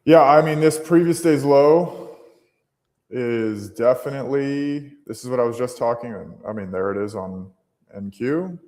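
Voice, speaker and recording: A man's voice: mid-range voice, unhurried, warm tone, long reverb tail. A man hosts a live trading stream in a casual, unscripted way. He thinks aloud as he goes, restarting sentences and leaning on fillers. His tone stays conversational and everyday.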